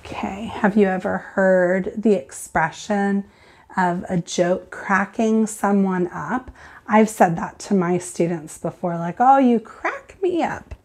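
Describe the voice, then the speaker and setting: A woman teacher reading aloud and explaining to children in an expressive, deliberate, instructive way. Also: medium pitch.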